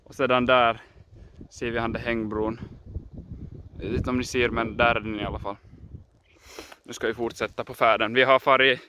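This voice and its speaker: A man's voice: mid-range voice, little pitch movement, long pauses. A young man with a Finland Swedish accent, chatting casually for a vlog outdoors into a handheld camera. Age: young adult. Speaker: vlogger. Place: outdoors by lakes and in forest. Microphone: handheld camera microphone. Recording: windy outdoor action-camera sound, loud noise.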